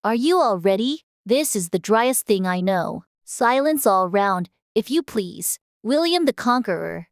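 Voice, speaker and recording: A woman's voice: medium pitch, lively intonation, a little slow, few pauses, no echo, clean studio sound. A woman voicing a self-important mouse who lectures the others in a storybook drama. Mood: lecturing. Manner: prim, lecturing.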